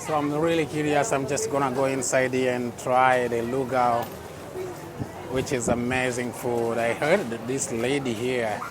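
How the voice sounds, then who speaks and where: A man's voice: mid-range voice, level tone, measured pace. A man from Texas chatting his way through an outdoor night food court as a travel vlogger, often exclaiming in wonder. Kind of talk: casual walking vlog narration. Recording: noisy crowded street market recording. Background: crowd chatter and traffic.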